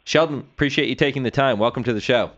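A man's voice: mid-range voice, quick pace, a bit bright, lo-fi sound. A man hosting a sports interview show on the radio, with a polished announcer's delivery.

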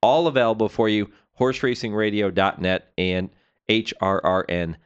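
A man's voice: lowish voice, steady tone, moderate pace, clean tone, highs cut off. A man hosting a sports interview show on the radio, with a polished announcer's delivery.

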